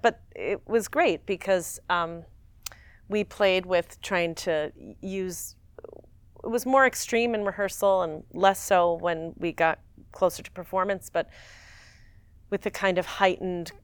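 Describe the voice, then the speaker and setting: A woman in her forties, an actress guest, talking in a rambling, animated way and laughing often. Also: fairly low pitch, smooth, dry recording. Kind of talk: casual interview chat.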